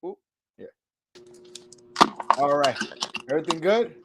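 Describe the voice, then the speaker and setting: A man's voice: a little high, very animated, a little slow, creaky, very noisy. A young man hosting a casual live-stream interview, joking loosely, stammering and repeating words, switching into Spanish to translate for his guest. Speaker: host.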